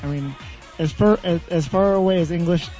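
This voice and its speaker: Blunt, sarcastic male co-host, higher-pitched but mellow, dull lo-fi audio with faint music. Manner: blunt, sarcastic, quick comebacks. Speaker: internet radio co-host. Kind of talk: casual on-air banter.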